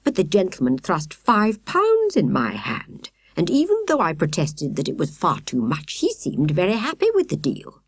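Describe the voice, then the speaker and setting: A woman's voice: on the low side, huge pitch swings, moderate pace, hardly any pauses, husky, no room sound, clean studio sound, full character voice. A woman voicing a flustered, well-meaning, apologetic British lady in a children's storybook, exclamatory and fretful. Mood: surprised, fluttery. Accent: British English.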